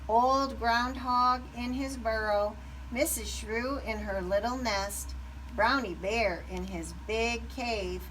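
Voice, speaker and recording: A woman narrating a children's story aloud with expressive, deliberate phrasing. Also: fairly high pitch, far from the mic, poor audio. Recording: background noise.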